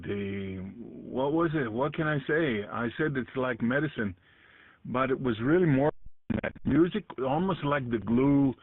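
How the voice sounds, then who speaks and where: A man chatting casually over an amateur radio link, reflective and halting as he searches for words. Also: medium pitch, no room sound. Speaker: amateur radio conference participant. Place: voice heard over internet-linked ham radio. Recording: muffled, dry digital radio link audio.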